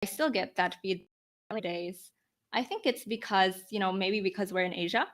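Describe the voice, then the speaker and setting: A woman's voice: medium pitch, normal speed, fairly bright tone. A woman of about twenty speaking reflectively and informally in an interview, often dropping in "like" and "you know". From Philippines. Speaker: podcast guest, startup founder.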